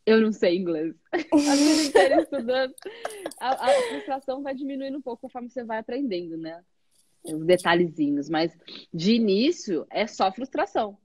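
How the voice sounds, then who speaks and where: A woman's voice: medium pitch, a little mellow, husky, no echo. A Brazilian woman with a Brazilian Portuguese accent, an English teacher chatting animatedly with a guest and using lots of tag questions. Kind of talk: casual conversation.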